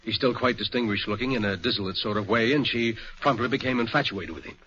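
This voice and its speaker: A man's voice: on the low side, fast talker, bright, forceful tone, slight background noise. An actor playing a worried, indignant middle-aged father, formal and insistent, in 1940s radio drama style. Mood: troubled. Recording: muffled 1940s radio broadcast.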